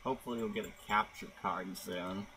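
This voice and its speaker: A young man joining a gaming chat with quiet, offhand jokes. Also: on the low side, steady tone, a little fast, noisy background. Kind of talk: casual chat.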